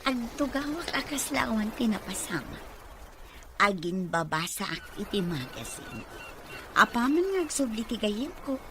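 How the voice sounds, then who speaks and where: A woman acting an unhurried, expressive female character in a radio drama, with an Ilocano accent. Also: fairly high pitch. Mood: musing. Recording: clearly noisy ambience behind.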